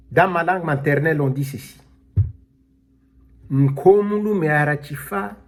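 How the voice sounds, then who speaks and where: A man preaching a sermon, slow and emphatic, calling out alleluia as he explains scripture. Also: a little high, slightly rough. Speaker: pastor.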